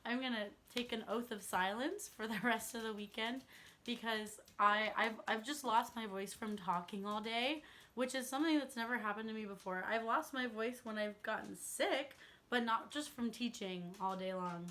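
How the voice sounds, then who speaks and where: A young woman vlogging about books in casual chat, her voice still slightly hoarse as it recovers, laughing often as she talks. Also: on the high side, moderate pace, a bit bright, a little hiss or hum. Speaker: book vlogger.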